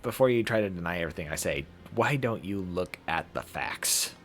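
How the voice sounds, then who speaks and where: A man voicing a calm, condescending visual novel character who speaks in a measured, patronizing way. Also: low-pitched, faint music behind, voice pushed down. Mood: insistent.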